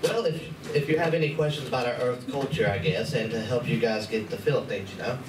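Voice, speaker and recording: A man's voice: mid-range voice, brisk, distant mic, lightly acted. A man playing a nervous, hesitant convention helper in a live amateur radio play, in a noisy, echoey room. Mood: nervous, polite. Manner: hesitant, awkward. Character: nervous, awkward male convention staffer, eager to help. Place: large indoor room at a convention.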